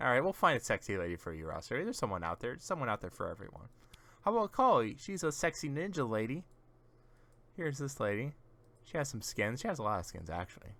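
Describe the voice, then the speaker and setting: A man's voice: medium pitch, sing-song, fast talker, sharp tone. A man casually chatting on a gaming stream, giving rambling, teasing explanations to a friend as he shows off game characters.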